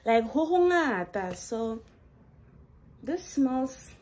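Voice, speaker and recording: A woman's voice: high-pitched, sing-song, very slow, darkish tone. A young South African woman with a Setswana accent, chatting through a shopping haul in her bedroom. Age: young adult. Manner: chatty, enthusiastic, code-switching. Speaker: vlogger. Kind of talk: casual haul vlog, mixing English and Setswana. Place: bedroom at home, indoors.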